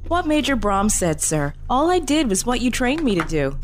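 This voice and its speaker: A woman's voice: a little high, very animated, normal speed, slight background noise. A woman playing an eager, dutiful young military lieutenant in a science fiction audio drama. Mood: dutiful, earnest. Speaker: actor in a fan audio drama. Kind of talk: fan audio drama acting.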